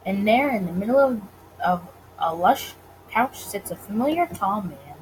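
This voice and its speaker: A woman's voice: medium pitch, lively intonation, a little slow, poor audio. A woman gaming creator chatting casually and reading visual novel narration aloud, recorded with faint noise behind her. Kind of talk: casual let's play with read-aloud narration.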